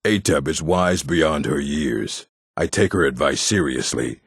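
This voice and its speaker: A man voicing a gruff, proud orc warrior and smith in a fantasy video game, blunt and stern, with a gravelly, husky voice. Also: very deep voice, expressive, a little slow, no echo, studio quality, exaggerated voice. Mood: solemn, earnest. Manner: blunt, stern, clipped phrasing.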